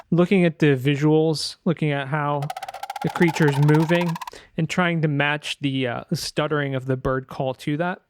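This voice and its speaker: A man's voice: a little high, smooth. A man talking casually through a screen-share tutorial as a sound designer, informal and loose, thinking aloud as he goes.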